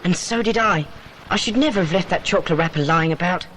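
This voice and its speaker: A woman's voice: fairly low pitch, fast, lightly acted. A woman playing a practical, level-headed boy in a children's adventure audio drama. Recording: reverberant hall, faint noise.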